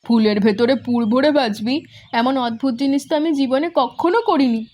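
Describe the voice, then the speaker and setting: A woman voicing a fond, practical village mother in a cartoon who speaks gently and scolds lightly. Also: high-pitched, brisk. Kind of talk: cartoon voice acting.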